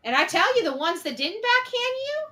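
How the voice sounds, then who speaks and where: High bright voiced lady ranting off the cuff, emphatic, accusing, repeats herself a lot. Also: big pitch swings, normal speed. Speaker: online commentator on a case. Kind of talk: opinionated unscripted monologue.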